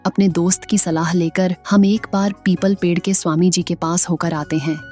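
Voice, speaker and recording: A woman playing a weary but agreeable village wife and mother in an animated moral story. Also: on the low side, normal speed, soft background music, natural voice.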